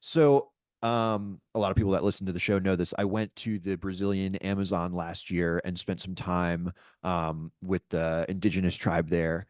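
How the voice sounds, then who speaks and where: A man's voice: low-pitched, fairly even intonation, normal speed, a little mellow, no echo, studio quality. A young man hosting a podcast, asking a long casual question with many fillers and hesitations. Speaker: podcast host.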